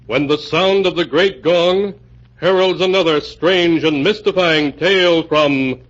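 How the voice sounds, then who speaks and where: Slow, portentous male radio mystery announcer. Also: on the high side, fairly bright tone. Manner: portentous, theatrical, solemn. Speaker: announcer and narrator. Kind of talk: 1940s radio mystery narration, scripted. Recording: muffled old-time radio recording.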